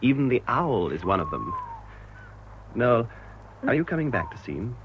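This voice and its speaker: An actor playing a wry, educated village vicar, exclaiming and droll in a British radio drama. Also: male voice, mid-range voice, very animated, normal speed. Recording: dull, noisy radio recording.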